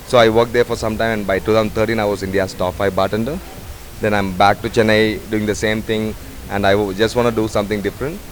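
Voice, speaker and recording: A man, a head bartender talking in a rambling way with many fillers. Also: fairly low pitch. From Sri Lanka. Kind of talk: casual interview. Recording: noisy bar ambience.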